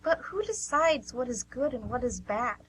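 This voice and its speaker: A woman's voice: fairly high pitch, expressive, normal speed, slight background noise, natural voice, rough recording. A woman playing a dry, teasing, pragmatic friend in an amateur radio drama. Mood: dry, questioning.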